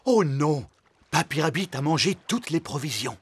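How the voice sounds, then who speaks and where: A man narrating a children's cartoon in a playful, expressive way. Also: fairly high pitch, moderate pace, slightly rough, full character voice.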